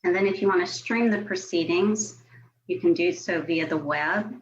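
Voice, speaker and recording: A woman's voice: fairly low pitch, normal speed. An American woman speaking as a city staff member, formally reading out procedure and agenda items at a public online meeting. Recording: muffled online meeting audio, faint noise.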